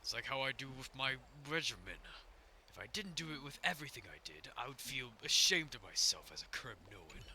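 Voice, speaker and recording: Rambling, gravelly-voiced man streamer. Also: mid-range voice, very animated, pushed and bright, slight background noise. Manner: rambling, halting, swears a lot. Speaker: game streamer. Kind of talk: casual in-character game commentary.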